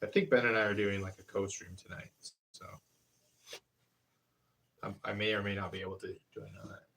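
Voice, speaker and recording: A man's voice: fairly low pitch, steady tone, fairly quick, fairly bright tone. A man in his thirties chatting casually on a live stream while working at a pottery wheel, rambling and hesitant as he explains each hands-on step. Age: 30s. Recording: voice picked up from a distance.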